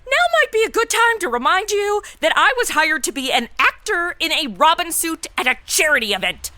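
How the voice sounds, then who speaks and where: A woman's voice: extremely high pitch, very animated, brisk, bright, forceful tone, no echo, heavily acted. A woman playing a sarcastic, exasperated young superhero sidekick in a comedic radio play, with snarky, slangy, exclamatory delivery. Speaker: regular lead of comedy podcast.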